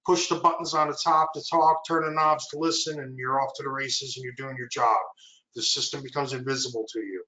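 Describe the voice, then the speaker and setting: A man's voice: medium pitch, a little fast, reverberant, low-quality recording. A man giving a product presentation, walking through slides and asking for the next one. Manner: steady explanatory walkthrough, asks for the next slide.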